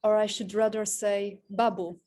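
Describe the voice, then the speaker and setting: A woman hosting an online event, introducing a guest in an effusive, earnest and halting way. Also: mid-range voice, level tone, warm tone. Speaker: event host, moderator.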